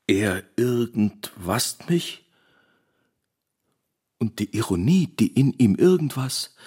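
An actor playing a musing inner voice in a radio play, drawing out and repeating words. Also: male voice, mid-range voice, darkish tone, slightly rough, full character voice. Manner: drawn-out, repetitive wordplay.

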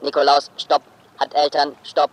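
A mechanical, flat-toned headquarters agent played by a man in a children's radio drama. He delivers clipped telegram phrases at a slightly high, unvarying pitch. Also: normal speed, natural voice, low-quality recording. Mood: deadpan, urgent. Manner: monotone, clipped, punctuated by stops. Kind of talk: children's radio play acting.

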